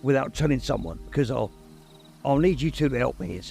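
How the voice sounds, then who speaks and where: A man voicing a huge, gruff gamekeeper who talks in rough dialect, halting and sniffling, raised above his own pitch. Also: animated, warm tone, soft background music. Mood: upset.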